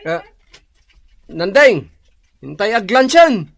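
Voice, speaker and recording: Animated Ilocano co-worker. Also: male voice, high-pitched, slow, vocal fry, no echo, heavily acted. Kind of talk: Ilocano radio drama acting.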